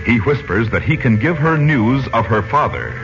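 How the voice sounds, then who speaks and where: A man's voice: medium pitch, very animated, moderate pace. A man announcing and narrating a 1940s radio serial from a script in a formal, theatrical, emphatic style. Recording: muffled vintage 1940s radio recording. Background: music.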